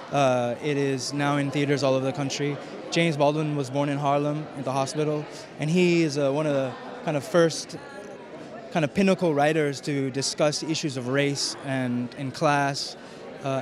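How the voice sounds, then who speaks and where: A man giving a festival interview as a film director, speaking haltingly as he searches for words. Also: fairly high pitch. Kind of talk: red carpet interview. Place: film festival red carpet. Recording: busy crowd and urban noise behind. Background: crowd chatter.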